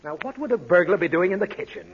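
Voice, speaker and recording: A man's voice: high voice, animated, quick pace. An actor playing an exasperated, sleep-deprived father in 1940s radio comedy, pleading, scolding and blustering as he gets flustered. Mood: weary, scolding. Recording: muffled, hissy old radio recording.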